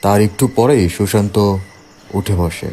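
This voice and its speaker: A man narrating a scripted horror story in a measured way, with dramatic pauses. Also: on the low side, warm tone, slight background noise.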